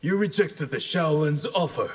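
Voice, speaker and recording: A man voicing a proud, composed warrior in a video game, measured and formal in his speech. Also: fairly high pitch, expressive, heavy background noise, lightly acted. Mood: composed challenge. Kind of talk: video game character dialogue.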